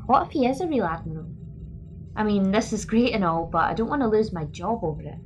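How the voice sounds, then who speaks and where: A woman's voice: mid-range voice, expressive, a little fast, music in the background, close to an everyday voice. Informal female starship engineer acted by a woman. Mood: doubtful. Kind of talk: audio drama acting.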